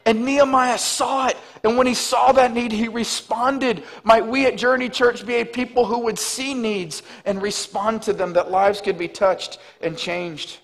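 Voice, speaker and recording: A man in his thirties, a pastor, preaching an animated sermon on a church stage. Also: very high voice, measured pace, few pauses. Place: large church stage, indoors. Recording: long hall reverb.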